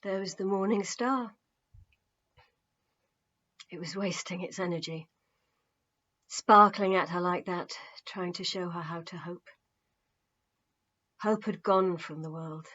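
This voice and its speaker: A woman reading her novel aloud as an expressive, measured audiobook narrator. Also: fairly low pitch, muffled recording. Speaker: author reading own novel.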